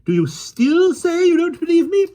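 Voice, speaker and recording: A man doing Miss Lark, a shrill fussy old lady. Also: very animated, normal speed, mellow. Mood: excited. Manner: shrill, breathless and insistent.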